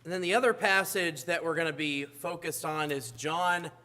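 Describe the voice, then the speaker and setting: A hoarse man delivers a church sermon in a high-pitched voice. He keeps stumbling into fillers and restarts as he speaks. His voice sounds rough and strained. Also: fairly even intonation, bright, forceful tone. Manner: halting, frequent uh fillers and restarts. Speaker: preacher.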